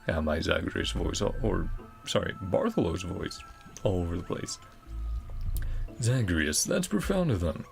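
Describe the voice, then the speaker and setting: A man records casual gameplay commentary with a creaky, animated voice of average pitch. He mutters to himself as he plays, with faint music behind him. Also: a little slow, long pauses. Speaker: gaming video creator.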